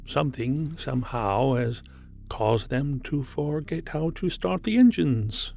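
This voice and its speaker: An American actor playing a calm, grave spaceship doctor in a 1950s radio drama, speaking in a deliberate, serious manner. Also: male voice, mid-range voice, creaky.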